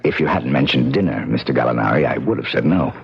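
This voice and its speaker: An actor playing a bitter, world-weary, middle-aged painter in a radio mystery drama, blunt and sardonic. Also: male voice, rumbling low, lively intonation, quick pace, vocal fry, full character voice. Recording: dull lo-fi old radio broadcast.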